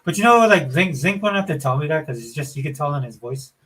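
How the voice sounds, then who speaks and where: A man's voice: on the high side, expressive, fast talker, a bit bright, breathy edge. Slightly whiny man in loose casual chat. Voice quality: somewhat whiny. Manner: loose, informal, peppered with like and dude.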